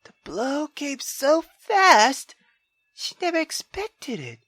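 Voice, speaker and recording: Male let's player, informal and expressive, unhurried delivery with lively pitch, reading a story game aloud. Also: dry recording, studio quality. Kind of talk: reading a visual novel aloud with reactions.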